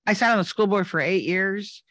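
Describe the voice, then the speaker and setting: A man speaking as mayor at a public meeting, loud, emphatic and earnest. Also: sits high, moderate pace, dry recording.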